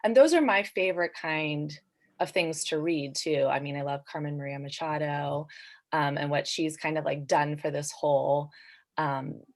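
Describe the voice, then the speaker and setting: A woman with a low voice discusses her work as a panel guest. Her delivery is casual and reflective, hedged as she thinks aloud. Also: steady tone, normal speed.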